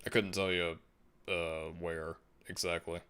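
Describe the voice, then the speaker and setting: Male streamer with a very deep voice and a relaxed, meandering delivery. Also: a little slow, clear, resonant tone. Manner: laid-back and musing, frequent restarts and soft laughs. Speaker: livestream host. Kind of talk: casual livestream chat, reading viewer comments.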